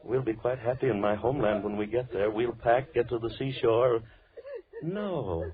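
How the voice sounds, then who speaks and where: A man's voice: mid-range voice, moderate pace, a crowd in the background, lightly acted. An actor playing a mad, sinister man in an old-time radio horror drama, speaking with unctuous, mock-tender menace and repeating his words. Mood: crazed, gloating. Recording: tinny, band-limited old radio recording, background voices.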